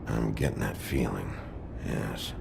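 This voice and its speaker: Gruff male detective, rock-bottom pitch, terse, authoritative, noir video game, noticeable background noise. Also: takes it slowly, exaggerated voice. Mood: dry, grim. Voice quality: gravelly. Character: gruff, brooding wolf detective in human form.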